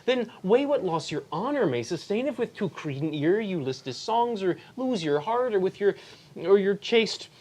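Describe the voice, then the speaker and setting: A Canadian woman reads an earnest young brother cautioning his sister. The reading is casual and unrehearsed, with many filler words and restarts. Her voice sits at a middle pitch. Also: very animated, moderate pace, warm tone. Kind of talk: casual table read of a classic play.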